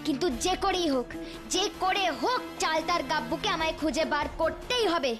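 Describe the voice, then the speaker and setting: An earnest little cartoon heroine, concerned and wondering aloud. Her voice is extremely high and lilts widely, with loud music behind it. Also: lively intonation, brisk. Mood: worried, determined. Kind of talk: cartoon monologue acting.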